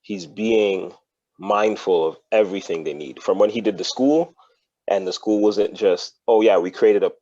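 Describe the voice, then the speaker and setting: Deep-voiced earnest man guest. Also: moderate pace, dark tone, highs cut off. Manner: earnest, thoughtful, repeats words while thinking. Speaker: podcast guest. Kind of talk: casual podcast chat.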